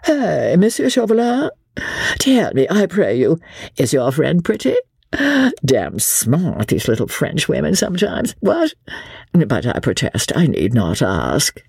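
A woman voicing an impudent, foppish gentleman in an audiobook, with an affected, airy, prattling manner. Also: deep voice, big pitch swings, measured pace, creaky, slightly rough, dry recording, clean studio sound, full character voice. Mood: jovial, mocking. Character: foppish, impudent English gentleman feigning inane idleness. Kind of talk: dramatised audiobook character voice.

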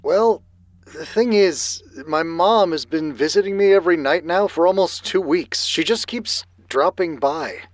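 A somewhat high male voice played by an actor in a radio drama, as a nervous caller to a late-night show. He speaks hesitantly and self-consciously, with a natural, earnest delivery and a very dry sound. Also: unhurried, dry recording, close to an everyday voice. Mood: uneasy, earnest. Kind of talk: naturalistic audio drama dialogue.

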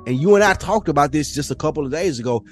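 A man's voice: on the high side, lively intonation, quick pace, soft background music. A man hosting a casual podcast, talking in an emphatic, slangy way and acting out quoted thoughts.

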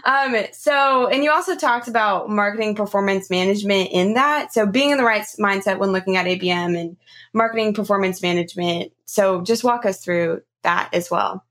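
A woman's voice: medium pitch, normal speed, fairly bright tone. A woman hosting a podcast interview in a casual, effusive way, repeating words for emphasis. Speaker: podcast host.

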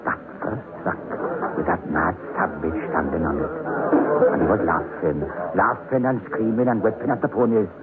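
Male actor as elderly Welsh miner, haunted storyteller, deep, dramatic swells, radio horror, hissy narrow-band recording. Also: fairly even intonation, normal speed, loud music behind the voice, lightly acted. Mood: haunted, fearful. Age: older. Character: haunted old Welsh miner, sole cave-in survivor. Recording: noisy, tinny old radio recording.

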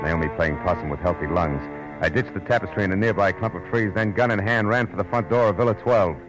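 An American actor playing a tough, wisecracking private detective in an old radio drama, terse and slangy. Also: male voice, deep voice, close to an everyday voice. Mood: tense narration. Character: hard-boiled, wisecracking, suspicious male private detective. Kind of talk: 1940s-50s radio detective drama acting, first-person narration and dialogue. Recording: noisy old radio broadcast recording.

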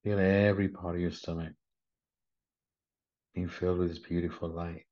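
A man leading a guided meditation in a gentle, soothing manner, with short unhurried instructions. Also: sits low, flat delivery. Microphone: video call. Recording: slightly muffled video call audio.

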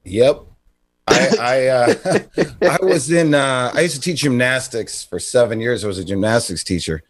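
A man co-hosting a radio show, telling comic stories in a casual, halting, self-interrupting way. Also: mid-range voice.

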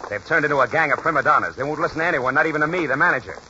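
An actor playing a stubborn, scheming baseball manager, bossy and commanding, in a 1940s radio comedy. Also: male voice, a little high, expressive, pushed and bright. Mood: confident, scheming. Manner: brisk, commanding. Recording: dull, noisy 1940s radio recording.

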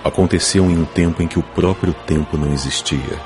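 Very deep-voiced solemn male narrator over music. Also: a little fast. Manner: solemn, portentous. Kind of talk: dramatic scripted epic narration. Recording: music behind the voice. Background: clear music.

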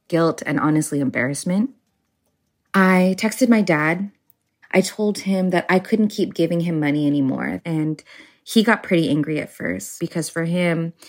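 Brief: 30s woman, low voice, reflective, hesitant testimony. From United States. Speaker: interviewee sharing a story.

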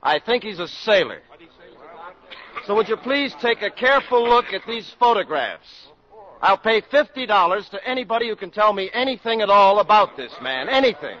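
Urgent government doctor, polite, insistent, high-pitched, 1950s radio drama, lo-fi recording. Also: male voice, sing-song, normal speed. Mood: urgent, appealing. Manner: insistent, polite public appeal, projecting. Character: earnest, urgent government doctor appealing to a crowd. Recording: muffled old radio broadcast.

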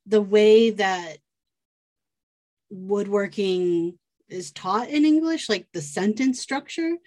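Easygoing woman podcast host, about forty, mid-pitched, casual. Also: slow pace. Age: 40s. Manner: relaxed, conversational, frequent fillers. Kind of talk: casual interview chat.

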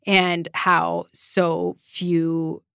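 A woman's voice: on the low side, little pitch movement, very slow, creaky, fairly bright tone, smooth, no room sound, studio quality. A woman co-hosting a podcast, chatting thoughtfully and often chiming in with agreement. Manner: thoughtful, frequent agreeing backchannels. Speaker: podcast co-host. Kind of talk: casual conversation.

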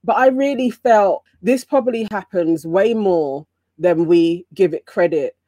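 A woman in a casual panel chat who talks in a measured, analytical way. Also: lowish voice, dark tone, no echo.

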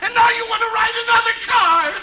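An actor playing an exasperated store clerk on the edge of hysterics in 1940s radio comedy. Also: male voice, very high-pitched, fast. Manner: whining, builds from weary patience to wailing. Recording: very noisy old radio broadcast.

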